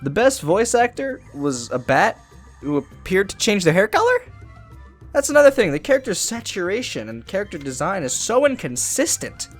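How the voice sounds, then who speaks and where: A man's voice: high-pitched, big pitch swings, normal speed, soft background music. A young man narrating a mocking comedic review of a bad movie. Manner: sarcastic, mocking. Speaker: video reviewer.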